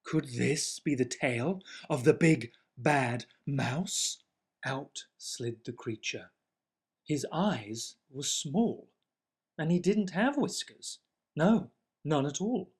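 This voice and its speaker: A storyteller reading a children's book aloud as an animated bedtime tale. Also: medium pitch, slow, slightly rough. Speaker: storybook reader.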